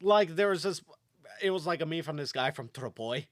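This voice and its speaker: Young guy from kosovo, kinda high voice, lots of "like" and restarts, dry mic. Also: expressive, a little fast, dry recording. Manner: rambling, many fillers and restarts. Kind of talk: casual chat.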